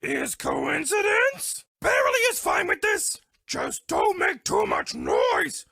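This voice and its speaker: Squealing, gravelly girl bear. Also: extremely high pitch, wildly swooping pitch, takes it slowly, bright, forceful tone, no room sound, clean studio sound, heavily acted. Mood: shy, fond. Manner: broken third-person English, dramatic and gushing. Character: sweet dramatic lovestruck female bear, talking in broken English. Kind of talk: cartoon dating game character lines.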